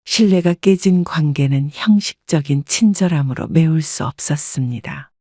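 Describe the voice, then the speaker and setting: A woman narrating a scripted first-person life story in a calm, even manner. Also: deep voice, normal speed, no room sound, clean studio sound.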